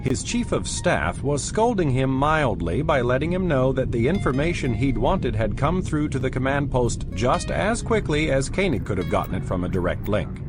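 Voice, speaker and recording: Brief: a man of middle pitch narrating a dramatized audiobook, animated, with music. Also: normal speed, few pauses. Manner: expressive storytelling.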